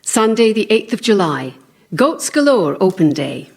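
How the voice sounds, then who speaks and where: A woman's voice: mid-range voice, very animated, measured pace, a little mellow, breathy edge. A woman reading scripted announcements formally as a radio announcer. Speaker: announcer and reader.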